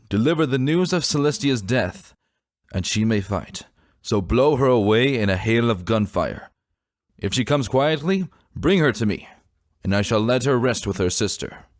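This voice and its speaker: A man's voice: medium pitch, expressive, unhurried, dry recording, clean studio sound, pitched above the performer's own voice, lightly acted. A man voicing an arrogant young pony prince in a fantasy story, commanding and taunting. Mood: commanding, triumphant. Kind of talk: dramatic fantasy story reading. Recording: music behind the voice.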